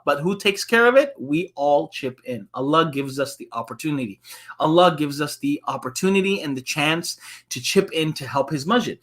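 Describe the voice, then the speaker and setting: A man's voice: fairly high pitch, pushed and bright. A man co-hosting a children's story time, talking informally and often checking in with his listeners. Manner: informal, checks in with frequent tags like right and okay. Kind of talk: casual talk to children and families.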